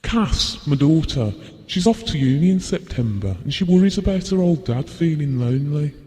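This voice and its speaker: An actor playing a gentle, shy, middle-aged widowed father in an audio play, wistful and evasive. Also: on the high side, animated, normal speed, close to an everyday voice. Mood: reflective. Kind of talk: short audio play dialogue. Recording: long hall-like reverb.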